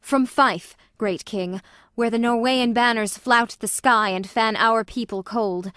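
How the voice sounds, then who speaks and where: A woman's voice: on the high side, measured pace, a bit bright, clean tone, no echo, studio quality. A woman playing a loyal noble thane delivering war news in formal verse for an audiobook drama. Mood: proud, formal.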